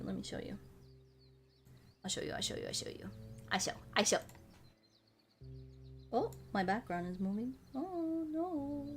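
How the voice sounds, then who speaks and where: A woman's voice: on the high side, a bit bright. A woman livestreamer chatting casually and playfully with viewers, rambling along and often repeating short phrases. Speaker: VTuber streamer chatting with viewers. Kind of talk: casual livestream chat over a game. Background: music.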